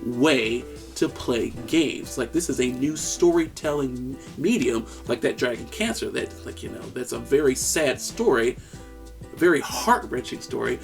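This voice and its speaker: Gravelly-voiced enthusiastic male podcaster. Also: mid-range voice, animated, a little slow, faint music behind. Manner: enthusiastic, laughs often, jokey asides. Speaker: podcast co-host. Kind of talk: casual chat about video games.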